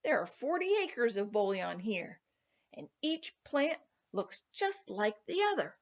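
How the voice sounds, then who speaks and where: A woman's voice: fairly high pitch, big pitch swings, measured pace. A cocky young farm lad from a storybook, put on by a woman reader. He is bold and greedy, speaking in an eager, emphatic, demanding way. The sound is a touch dull. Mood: excited. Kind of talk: storybook character voice.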